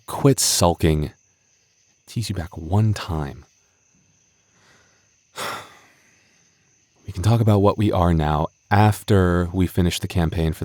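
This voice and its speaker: A man's voice: low-pitched, darkish tone, no room sound. A man playing a flustered, bashful young male game master in an intimate roleplay audio drama, stammering, sighing and mock-stern with his childhood friend.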